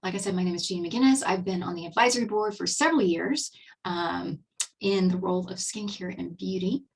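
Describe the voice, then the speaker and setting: A husky-voiced Texan woman in her fifties chats through a relaxed online presentation, upbeat and full of fillers and restarts. Her voice sits a little away from the microphone, as on a video call. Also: lowish voice, a little fast, recorded from a distance. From Texas, USA. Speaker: webinar host and skincare presenter. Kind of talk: casual webinar presentation.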